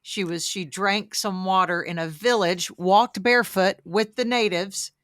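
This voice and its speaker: A middle-aged woman hosting an interview, telling stories in an animated way and often affirming with "right.". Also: mid-range voice, measured pace, no room sound, studio quality. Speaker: podcast host. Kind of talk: casual interview chat.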